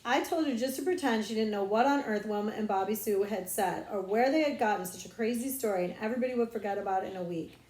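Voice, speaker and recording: A woman voices an earnest, knowing schoolgirl in a storybook reading. The delivery is precise and confiding, at a middle pitch, with audible room echo. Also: quick pace, few pauses, a little mellow, natural voice. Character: earnest, knowing young schoolgirl who relishes secrets. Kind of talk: audiobook character voice.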